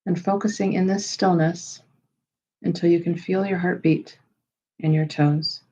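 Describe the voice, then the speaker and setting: A woman leading a guided meditation in a slow, soothing style, with measured, unhurried phrases and pauses. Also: on the low side, fairly even intonation, mellow, husky, highs cut off.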